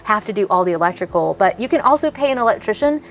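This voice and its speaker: A woman's voice: mid-range voice, lively intonation, fast talker, slight background noise. A woman in her thirties hosting a home makeover video outdoors, chatting enthusiastically and laughing often. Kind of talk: casual on-camera chat and tips. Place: outdoors in a backyard garden.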